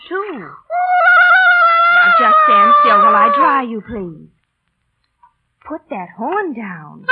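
An actress playing a young mother gently coaxing her small child in a vintage radio advert. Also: sits high, heavily acted. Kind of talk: 1940s radio advert acting. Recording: dull old radio recording, loud music.

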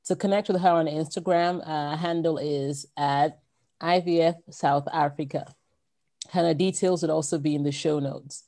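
A woman's voice: low voice, fairly even intonation, normal speed, dark tone. A woman from Nigeria hosting a podcast interview, asking questions casually and laughing easily. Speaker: podcast host.

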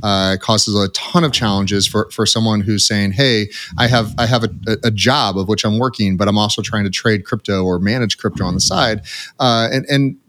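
A podcast host with a somewhat low voice opens the show in loose, rambling talk. He stumbles over repeated words and leans on uhs and ums as he thinks aloud. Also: normal speed, a bit bright. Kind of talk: casual podcast opening.

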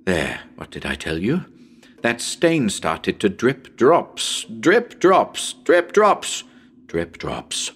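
Man acting a jittery broadcaster, wildly swinging pitch. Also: huge pitch swings, fairly bright tone, slightly rough, heavily acted. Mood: nervous, rattled. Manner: halting, muttering, jittery, swinging into exclamations. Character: male radio broadcaster unravelling into madness in a haunted house. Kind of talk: dramatic reading of a live radio broadcast.

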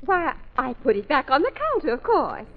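A woman's voice: high-pitched, wildly swooping pitch, moderate pace, heavily acted. An actress playing a gushing, easily flustered society lady in an old radio comedy, effusive and ladylike. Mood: flustered innocence. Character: fluttery, gushing society matron with sticky fingers. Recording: dull vintage radio recording.

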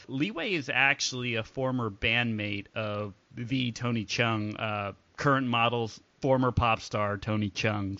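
A man's voice: lowish voice, sharp tone, no echo. A man hosting a casual interview podcast, joking around with his guest. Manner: jokey, laughs easily. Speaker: podcast host. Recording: dry, dull lo-fi podcast audio.

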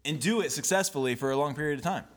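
A young man co-hosting a podcast in casual chat, tossing in deadpan jokes and swearing. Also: a little high, very fast. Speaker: podcast co-host.

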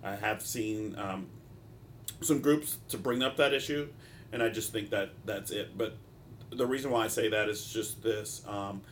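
A man with a fairly low, congested voice talks through an informal solo podcast as its host. He speaks in a halting, discursive way with frequent asides. A clear rumbling noise sits behind his voice. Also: fairly bright tone. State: congested, stopped-up nose. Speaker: podcast host, mental health practitioner.

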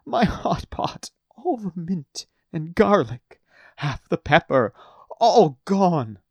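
A man's voice: medium pitch, sing-song, a little slow, no echo. A proud, fussy halfling chief cook, folksy and boastful, as a man puts him on. He brags about his stew and bursts into theatrical wails. The sound is dry and close. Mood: wailing.

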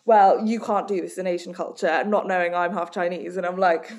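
A woman's voice: on the low side, steady tone, fairly quick, darkish tone. A woman from Hong Kong, working as a life coach and author, giving direct and emphatic answers in a podcast interview.

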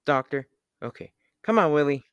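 A man's voice: on the high side, lively intonation, moderate pace, fairly bright tone, smooth, muffled recording. A man acting a worried, hesitant, plain-spoken spaceman sidekick in an old-time radio drama. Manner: hesitant, stammering.

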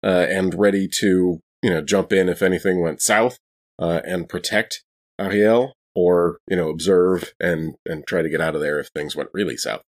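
A man's voice: low-pitched, steady tone, moderate pace. A man playing a tabletop roleplaying game, casually describing his character's actions in wry, wordy phrasing.

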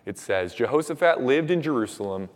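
A young man with a hoarse, raspy voice preaching and praying in casual, earnest words with many fillers. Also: mid-range voice, lively intonation, brisk. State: hoarse from shouting at camp. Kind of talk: sermon with prayer. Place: church hall. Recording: long reverb of a church hall.

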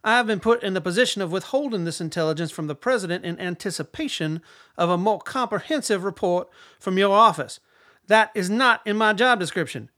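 Stern older defense secretary in a radio play, highish voice, very formal and condescending. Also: male voice, pushed and bright. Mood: sarcastic, controlled. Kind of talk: radio drama acting.

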